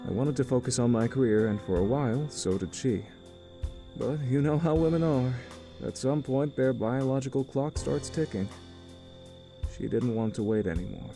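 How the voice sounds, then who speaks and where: A man playing a weary, middle-aged uncle with a failing marriage, earnest and measured, in a visual novel game. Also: mid-range voice, music in the background, natural voice. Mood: resigned confiding.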